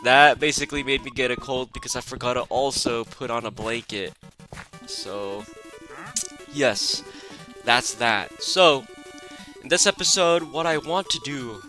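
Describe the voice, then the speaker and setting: A young man with a raspy, stuffed-up voice from a cold, chatting casually as he plays a game. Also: mid-range voice. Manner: rambling and relaxed, lots of fillers. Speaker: gaming channel host. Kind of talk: casual gameplay commentary. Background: background music.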